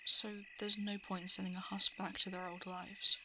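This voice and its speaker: A woman plays a female shapeshifting monster in an ASMR roleplay, guarded and coolly predatory. Her pitch is average for a woman, and she slips into hushed asides. Crickets and frogs sound clearly behind her. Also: level tone, normal speed. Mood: confused, coolly menacing. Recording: clear background noise of night insects, frogs. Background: crickets, frogs and night insect ambience.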